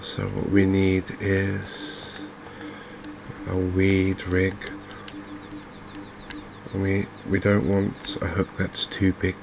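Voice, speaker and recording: Softly spoken, flat-toned low English man. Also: creaky. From England. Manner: unhurried, hesitant, gently musing. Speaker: gaming video host. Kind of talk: softly spoken ASMR game commentary. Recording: faint background noise from open windows and fans.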